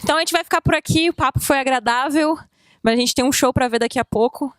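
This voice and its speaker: A woman's voice: high-pitched, rapid-fire, fairly bright tone, dry recording. A woman with a Brazilian Portuguese accent, a native Portuguese speaker, interviewing casually in English and sometimes searching for words.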